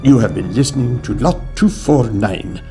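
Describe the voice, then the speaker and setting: A man's voice: mid-range voice, animated, normal speed, vocal fry, warm tone, soft background music. A man working as an announcer, reading scripted credits for an audio drama.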